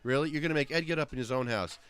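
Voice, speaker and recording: A man's voice: medium pitch, racing, a bit bright, clear, resonant tone. A man hosting a casual cannabis talk show in a friend's home, joking and playfully bossing his guest around. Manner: joking, bossy, playfully scolding. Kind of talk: casual teasing chat. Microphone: studio microphone with headphones.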